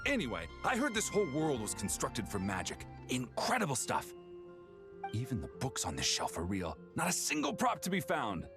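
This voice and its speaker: Friendly adventurer, wildly animated intonation. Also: male voice, medium pitch, normal speed. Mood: chatty. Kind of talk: video game voice acting. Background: music.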